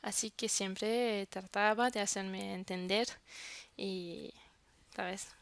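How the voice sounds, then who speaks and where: A woman's voice: mid-range voice, steady tone, slow pace, gravelly, slight background noise. A woman whose first language is French, vlogging casually to camera and searching for words as she talks.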